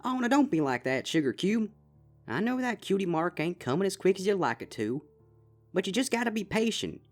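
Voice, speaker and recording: A warm-hearted but firm Southern farm mare, as a man puts her on, with a folksy drawl and a voice that swings up and down. Faint music plays underneath. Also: high-pitched, big pitch swings, brisk, voice pushed up. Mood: coaxing. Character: kind but stern young farm mare, big sister.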